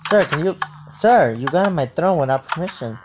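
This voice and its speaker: A woman playing a bossy, easily startled king in an improvised game roleplay, haltingly repeating phrases. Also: low voice, expressive. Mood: puzzled, demanding. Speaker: video creator playing a roleplay. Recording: noisy home mic with clicks.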